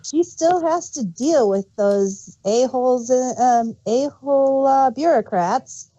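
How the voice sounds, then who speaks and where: A woman speaks slowly in a soft-edged, mellow tone, recorded close and dry. She chats as a co-host, explaining references in an informative, casual way. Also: high voice, no echo, highs cut off. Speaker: co-host of a fan review show. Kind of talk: casual explanatory fan chat.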